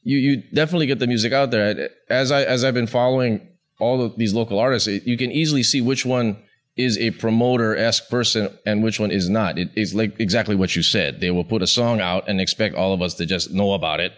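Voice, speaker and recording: A man hosting a casual interview, chatty and relaxed, often chiming in with agreement. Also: on the low side, fast. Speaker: podcast host.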